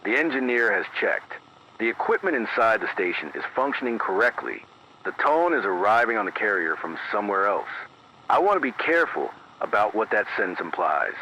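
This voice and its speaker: A man playing a grave, careful late-night emergency announcer, reading a formal scripted bulletin with measured, precise delivery through an AM radio filter. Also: fairly low pitch, sing-song, sharp tone. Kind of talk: scripted analog horror emergency news bulletin. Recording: dull band-limited AM radio, faint noise.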